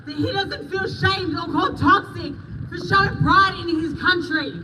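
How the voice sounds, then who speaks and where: An Australian woman giving an impassioned, emphatic political speech at a rally, in a loud declaiming voice. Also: extremely high pitch, normal speed. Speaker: rally speaker and organiser. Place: rally stage before a crowd. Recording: distant outdoor mic, crowd and noise.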